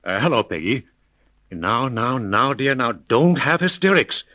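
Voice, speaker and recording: An imperious, wealthy businessman in an old radio mystery. His mid-range voice is sharp and swings widely in pitch. He speaks formally, with emphatic impatience. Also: very animated, measured pace. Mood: soothing, firm. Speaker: actor. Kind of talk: 1930s radio acting. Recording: vintage 1930s radio recording.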